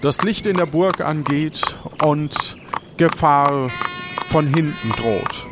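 A man narrating as game master of an improvised adventure audio play, with dramatic, drawn-out delivery. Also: on the high side. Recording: noisy sound-effect ambience behind voice.

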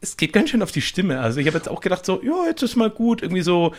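A man's voice: high-pitched, huge pitch swings, fast talker. A man chatting casually and colloquially, with plenty of slang, as an improv player on a podcast. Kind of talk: casual chat.

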